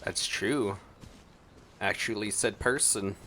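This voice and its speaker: Slow, easygoing-paced male game streamer. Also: lowish voice, pushed and bright, slight background noise. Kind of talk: casual gameplay reaction commentary. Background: faint game music.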